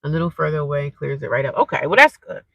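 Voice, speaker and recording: A woman's voice: low voice, expressive, fast talker, gravelly, dry recording, muffled recording. A young woman, stuffed up and congested, chatting casually with viewers on a live stream through a cheap earphone mic. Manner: rambling, chatty, talks straight to viewers.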